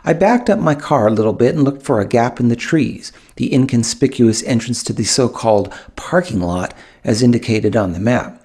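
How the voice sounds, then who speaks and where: A man narrating a first-person memoir as an audiobook, telling the story wryly with dramatic pauses. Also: mid-range voice, animated, a little mellow, breathy edge. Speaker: author reading own audiobook.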